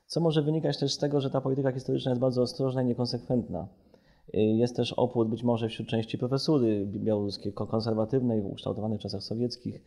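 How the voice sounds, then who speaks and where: A man's voice: medium pitch, flat delivery, quick pace, mellow. A man speaking as an analyst in an interview, explaining with many qualifications and self-corrections. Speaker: policy analyst and report author.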